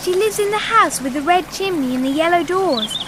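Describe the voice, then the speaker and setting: A woman's voice: very high voice, expressive, fairly quick, background noise. An actress playing a sweet, naive fairy-tale girl in a comic radio play, prim and storybook-polite. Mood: gushing, sweet.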